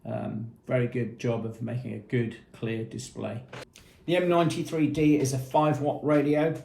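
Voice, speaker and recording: A man's voice: mid-range voice, little pitch movement, normal speed, vocal fry, a little mellow, roomy sound, slight background noise. A man presenting a product demonstration in a methodical, matter-of-fact, explanatory way.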